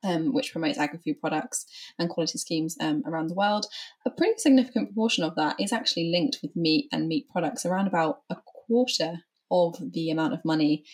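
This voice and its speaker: Female co-host, lower-pitched, light and teasing. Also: fairly quick, breathy edge. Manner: lightly teasing, laughs easily. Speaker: podcast co-host. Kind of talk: news podcast chat.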